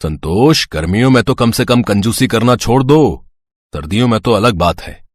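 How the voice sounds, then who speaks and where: A man's voice: fairly low pitch, expressive, normal speed, no echo, clean studio sound. A man playing a reasonable, mildly exasperated husband and father in an audio story, talking in a placating way.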